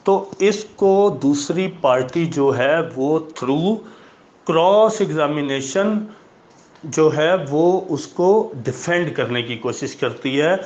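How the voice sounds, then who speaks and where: A man giving an explanatory law lecture in a deliberate, emphatic, didactic way, switching between Urdu and English legal terms. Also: fairly high pitch. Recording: dull lo-fi audio.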